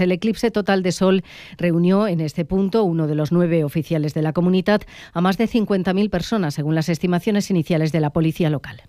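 A man's voice: high-pitched, darkish tone, no echo. A man with an accent from Spain presenting a scripted regional radio news bulletin in a studio.

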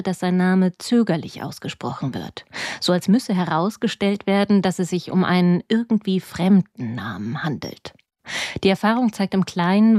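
A woman's voice: fairly low pitch, dark tone, dry recording, clean studio sound. A woman reading a scripted book review as a radio reporter. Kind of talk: scripted narration, literary review.

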